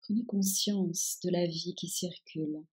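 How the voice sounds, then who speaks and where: Slow, husky woman guiding meditation. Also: on the low side, rough recording. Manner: calm, soothing, instructing. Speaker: yoga nidra guide. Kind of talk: guided meditation, relaxation instructions.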